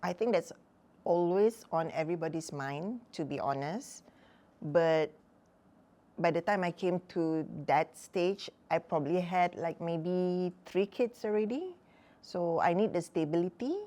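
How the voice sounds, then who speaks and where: A woman in her sixties, a mother on a talk show, telling stories from her life in a relaxed, chatty way with many fillers. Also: fairly low pitch, a little slow. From Malaysia. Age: 60s. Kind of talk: casual chat.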